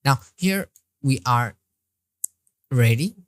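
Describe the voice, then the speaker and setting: Very deep-voiced woman, slow tutorial narration. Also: animated, dry recording, studio quality. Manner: step-by-step instructing, narrating each click. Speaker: software tutorial instructor. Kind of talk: screen-recorded tutorial walkthrough.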